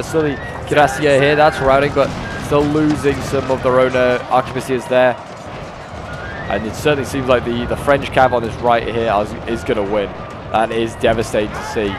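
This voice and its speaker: Man with a cold over loud battle music. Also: medium pitch, little pitch movement, a little fast. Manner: rambling, with frequent fillers and restarts. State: hoarse from a cold. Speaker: game commentator. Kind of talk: casual battle replay commentary. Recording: loud game music underneath. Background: loud game battle music and gunfire.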